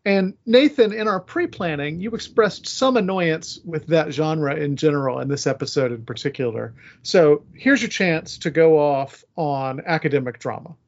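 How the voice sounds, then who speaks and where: A man's voice: fairly high pitch, normal speed, hardly any pauses, dull audio. A man hosting a casual discussion podcast, conversational and wry as he moderates. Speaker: podcast host.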